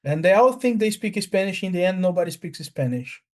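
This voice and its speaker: High-pitched male voice, Italian accent, native Italian and Portuguese speaker, casual chat, halting, repetitive, blunt. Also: expressive. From Brazil. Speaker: language learner.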